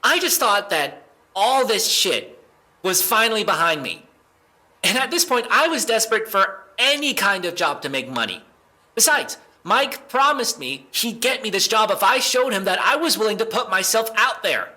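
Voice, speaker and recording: A man acting a cornered young suspect in an audio drama. The voice is high and very bright, with a tense edge. He speaks haltingly and emotionally, swearing and defending himself. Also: sing-song, heavily acted. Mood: desperate, angry.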